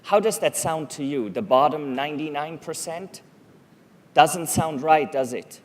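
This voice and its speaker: A man's voice: fairly high pitch, lively intonation. A middle-aged man from Switzerland, a native Swiss German speaker, giving a prepared public talk on a conference stage, telling stories and asking rhetorical questions. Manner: storytelling, measured, rhetorical questions.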